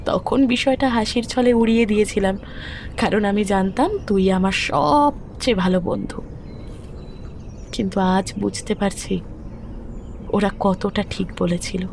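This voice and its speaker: A woman with a Kolkata Bengali accent playing a grieving, resolute young widowed mother in an audio story, speaking firmly and deliberately. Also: medium pitch, vocal fry, darkish tone, slight background noise. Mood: hurt, accusing. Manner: solemn, deliberate, firm. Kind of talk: romantic audio drama dialogue. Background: background music.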